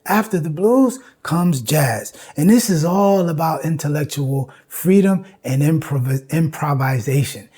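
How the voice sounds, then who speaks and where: Husky-voiced man giving an informal lecture. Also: on the high side, expressive, a little slow, few pauses, dark tone. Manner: emphatic, repeats phrases, frequent "you know" and "right?". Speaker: host and lecturer.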